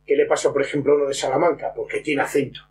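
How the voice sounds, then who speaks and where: Casting: middle-aged man, Fala speaker, mid pitch, halting explanatory interview talk, light room noise. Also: steady tone. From San Martín de Trevejo, Extremadura, Spain. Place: rustic stone-walled room, indoors. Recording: faint room hum and noise.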